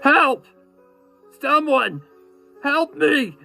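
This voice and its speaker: A man's voice: extremely high pitch, animated, drawn-out words, creaky, sharp tone, soft background music, voice pushed up, exaggerated voice. A man voicing a frightened, desperate pony stallion in a horror story reading, stammering and crying out. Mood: desperate, screaming. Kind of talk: horror fan-fiction dramatic reading.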